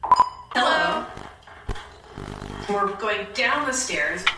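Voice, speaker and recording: A woman's voice: a little high, sing-song, very slow, far from the mic, full character voice. Echoey, noisy found tape of a woman, acted. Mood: casual, repeating. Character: woman on a found recording, discussing home decorating. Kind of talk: found-footage audio drama acting.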